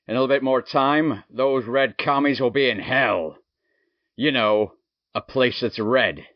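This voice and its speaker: Male actor as patriotic radio announcer: theatrical, emphatic, mid-pitched, old-time broadcast style, muffled recording. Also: unhurried, no room sound, lightly acted. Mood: patriotic, scornful. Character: zealous patriotic wartime radio news announcer. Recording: dull, muffled radio-drama audio, faint music.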